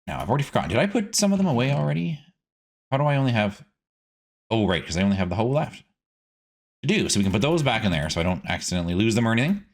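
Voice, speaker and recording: A man giving casual gameplay commentary as a streaming host, chatty and animated, rambling as he goes. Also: mid-range voice, racing.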